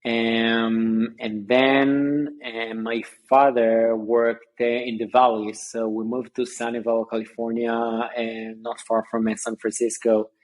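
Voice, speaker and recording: Man, thirties, video call, middle pitch, halting, lots of fillers. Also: flat delivery. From Israel. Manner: halting, many fillers and restarts. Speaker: guest founder. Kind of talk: casual interview chat.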